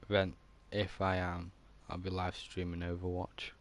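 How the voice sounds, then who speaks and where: A man's voice: low-pitched, monotone, measured pace. A man chatting casually as he streams a video game, in a rambling, laid-back way. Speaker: gaming streamer. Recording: faint background noise.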